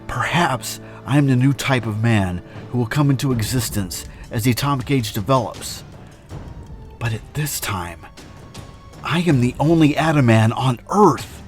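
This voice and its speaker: An actor playing a noble, confident atomic superhero in an earnest, declamatory old-time radio drama style. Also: male voice, medium pitch, expressive, a little slow, soft background music, natural voice. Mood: solemn resolve.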